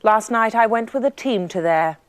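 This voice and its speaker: A woman playing an earnest TV news reporter in a spoof investigation, speaking gravely and portentously. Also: lively intonation, moderate pace, natural voice. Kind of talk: scripted TV news report parody. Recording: noisy outdoor location report, traffic rumble.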